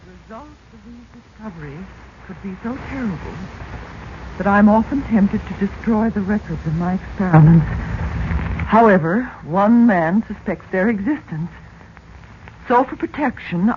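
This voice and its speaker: A woman's voice: medium pitch, lightly acted. An actress playing an anxious, grieving middle-aged widowed mother in a 1940s radio drama. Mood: grave, reading. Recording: dull, noisy 1940s radio recording.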